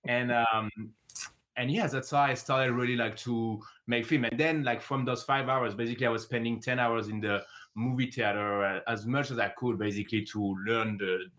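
A man's voice: mid-range voice, fairly even intonation, normal speed, a bit bright. A man from the south of France with a French accent, a native French speaker giving casual interview answers as a filmmaker guest.

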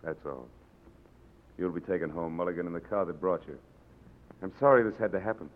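Man acting a deep, stern tribunal commandant. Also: a little fast, narrow, tinny audio, lightly acted. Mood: grave, controlled. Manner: authoritative, clipped questioning. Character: stern, controlled male commandant running an underground tribunal. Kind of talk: 1940s radio acting. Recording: thin, band-limited old radio broadcast.

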